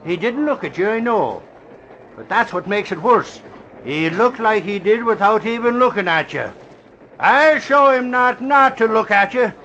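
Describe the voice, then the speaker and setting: A scrappy Irish reporter from a vintage radio drama. He is high-pitched, boastful and easily taken in, blustering in exclamations over a noisy old recording. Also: animated, measured pace, bright, forceful tone, dull audio, heavily acted.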